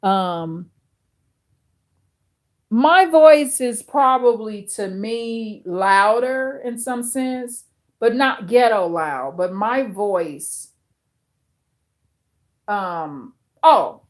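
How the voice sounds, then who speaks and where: A woman with a deep, authoritative voice hosting a livestream, reading viewer chat aloud and answering slowly with many fillers and restarts. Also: lively intonation. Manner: addresses viewers directly. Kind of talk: casual chat with live viewers.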